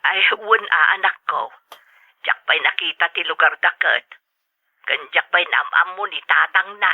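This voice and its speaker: A woman's voice: on the low side, moderate pace, no room sound. An actress playing a woman in an Ilocano family drama, heard through a narrow phone-like sound. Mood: grateful, agreeable. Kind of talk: radio drama acting in Ilocano.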